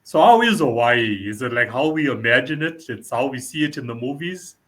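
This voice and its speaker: A man's voice: mid-range voice, lively intonation, a little fast, fairly bright tone. A man hosting a podcast in casual interview chat, often repeating short phrases and filling in with "you know". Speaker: podcast host.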